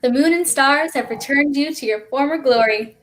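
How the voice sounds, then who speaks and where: A woman's voice: high-pitched, normal speed, a bit bright, low-quality recording. A woman playing a reassuring, teasing child in a staged reading of a play. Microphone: video call.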